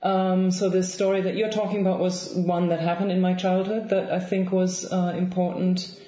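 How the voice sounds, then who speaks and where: A woman in her thirties from Germany with a German accent, speaking thoughtfully in an interview, with frequent pauses and restarts. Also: lowish voice, level tone. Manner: thoughtful, soft-spoken, many ums and restarts. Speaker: guest author. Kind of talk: reflective interview conversation. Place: home room with bookshelves, indoors. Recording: roomy, slightly dull lo-fi sound.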